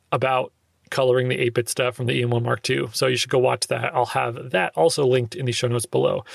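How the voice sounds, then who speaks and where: A man's voice: mid-range voice, gravelly, no echo. A young man with a slightly nasal voice hosts a casual solo podcast, answering listener questions in a loose, filler-heavy way. He records in a small sound-treated closet on a handheld recorder held close.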